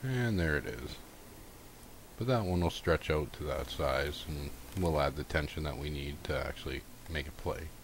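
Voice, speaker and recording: A man's voice: extremely low pitch, normal speed, slight background noise. A man casually narrating a step-by-step repair tutorial in an informal, folksy, unscripted way.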